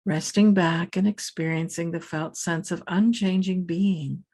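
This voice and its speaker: Woman with a low voice doing guided meditation, calm and soothing, measured w/ pauses. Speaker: meditation teacher. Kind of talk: guided meditation, scripted narration.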